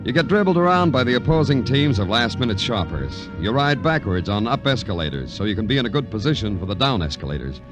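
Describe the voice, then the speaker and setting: An actor playing a weary, terse police detective with a wry, deadpan manner in a vintage radio crime drama. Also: male voice, mid-range voice, quick pace, hardly any pauses, natural voice. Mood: wry, world-weary. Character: weary, patient male New York police detective. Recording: vintage radio broadcast with music.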